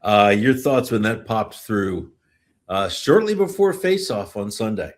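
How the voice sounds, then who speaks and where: Low-voiced man hosting a sports podcast. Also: lively intonation, unhurried. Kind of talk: casual sports commentary and interview.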